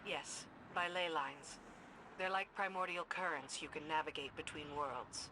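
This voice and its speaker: A man playing a calm mystical guide in a game, explaining things evenly. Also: a little high, a little slow, background noise, close to an everyday voice. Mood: calm, instructive. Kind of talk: scripted game dialogue.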